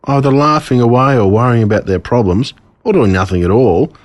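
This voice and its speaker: An Australian man reads a written story aloud. His voice sits fairly low but moves up and down in a very animated way. The recording is dry and close, with the expressive delivery of a storyteller. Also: no room sound. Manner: expressive, storytelling in a child narrator's words. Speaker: author reading his own story. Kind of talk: scripted storybook narration.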